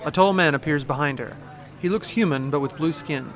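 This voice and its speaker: A man's voice: on the high side, brisk, fairly bright tone. A man giving scripted, present-tense narration for an audio drama. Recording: music behind.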